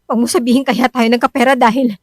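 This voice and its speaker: A Filipino actress playing a worried, suspicious wife who nags and scolds in a Tagalog radio drama. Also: female voice, a little high, steady tone, fast, no echo, studio quality, natural voice. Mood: alarmed, demanding. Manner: insistent, scolding.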